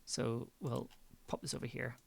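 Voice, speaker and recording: A woman chatting casually on a livestream while she edits audio, with an expressive, giggly and effusive manner. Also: very deep voice, moderate pace, vocal fry. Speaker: audio drama producer and livestream host.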